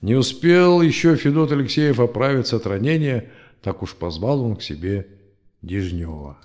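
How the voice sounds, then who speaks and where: An actor playing a grandfather who tells history in a folksy, animated, gently teasing way in an audio play. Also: medium pitch, normal speed, very noisy, natural voice. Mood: animated storytelling. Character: old grandfather storyteller teaching his grandchild, lively and gently chiding. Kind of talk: audio play storytelling narration.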